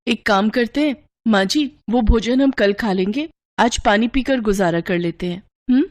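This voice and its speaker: Casting: woman playing young village daughter-in-law, sensible, courteous yet firm, for an animated folk tale. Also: on the high side, moderate pace, close to an everyday voice. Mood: firm, polite. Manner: polite but firm.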